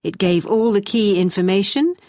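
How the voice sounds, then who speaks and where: A woman acting as a brisk, encouraging but exacting British university tutor, giving measured, emphatic feedback in a scripted listening-test dialogue. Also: medium pitch, lively intonation, a little mellow, dry recording, studio quality, close to an everyday voice. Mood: constructive.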